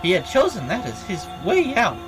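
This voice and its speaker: A woman's voice: mid-range voice, wildly swooping pitch, over loud music, low-quality recording. Woman putting on a swooping, taunting villain bear. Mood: sly storytelling. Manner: theatrical, mocking, drawn-out announcements. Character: gleeful, taunting robotic bear villain, sly storyteller. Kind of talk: dubbing video game dialogue aloud.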